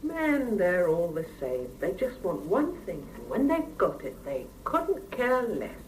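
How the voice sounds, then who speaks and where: A woman's voice: on the low side, huge pitch swings, a little slow, a little mellow, distant mic, a little hiss or hum, low-quality recording. An actress in a 1970s radio drama playing a blunt, world-weary Soviet single mother, on an old cassette recording. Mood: bitter, resigned.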